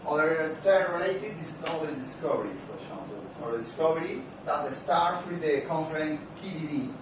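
A man with a Spanish accent giving a conference keynote in a large lecture hall without a microphone, speaking haltingly with many fillers and restarts. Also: fairly high pitch, lively intonation. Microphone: far camera, no microphone. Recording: echoey hall from far off, noisy.

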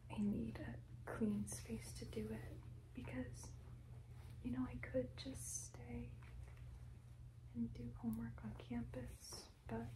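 A woman's voice: a little high, rough recording. A young woman rambling casually in an ASMR video, full of filler words. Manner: rambling, filler-heavy, unhurried. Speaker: ASMR creator.

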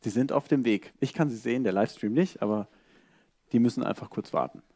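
A man speaks as the host of a live event. His voice sits at an average pitch, and he presents casually, as if chatting to the room. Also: fairly quick, warm tone.

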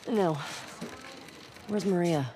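A woman's voice: lowish voice, takes it slowly. A young American survivor in a video game, sullen and awkward. She mutters tersely with dry sarcasm, and there is some ambient noise behind her. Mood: sullen, curt. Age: young adult. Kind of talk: naturalistic video game acting.

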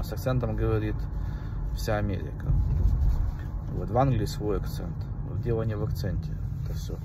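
A middle-aged man recording a rambling, preachy monologue to a phone in a car at night, with many fillers. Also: mid-range voice. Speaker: vlogger. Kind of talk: casual monologue to camera. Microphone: phone held at arm's length. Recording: phone mic, distant, faint car noise.

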